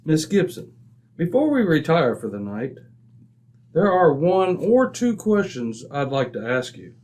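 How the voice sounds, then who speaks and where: A man playing a polite, observant gentleman detective with formal, measured wording in an old-time radio drama. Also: a little high, big pitch swings. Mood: inquisitive.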